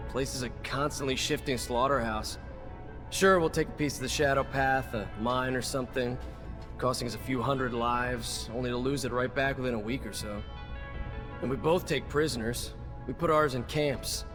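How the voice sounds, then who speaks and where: An actor playing a cynical veteran soldier in a video game, gruff and blunt in manner. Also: male voice, mid-range voice, steady tone, normal speed, natural voice. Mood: grim, resentful. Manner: gruff, sardonic, blunt, swearing. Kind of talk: video game dialogue acting. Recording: music behind the voice.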